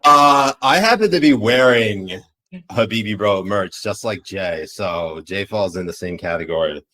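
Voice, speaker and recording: A man's voice: fairly low pitch, animated, a little slow, sharp tone. A man with a Pakistani accent co-hosting a live political chat show, rambling with many fillers. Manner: rambling, filler-heavy, laughs easily. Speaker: podcast co-host.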